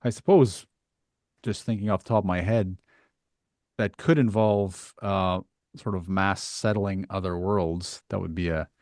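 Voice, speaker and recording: Low-voiced man hosting, dry close sound. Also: measured pace, darkish tone, no echo. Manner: tentative, thinks aloud. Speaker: podcast host, interviewer. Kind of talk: casual interview chat.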